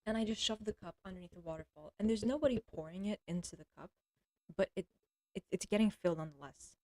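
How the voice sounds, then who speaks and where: A young woman livestreaming from home, rambling bluntly through a crude monologue, often stopping to clear her throat. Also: mid-range voice, quick pace, warm tone. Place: at home with a cat.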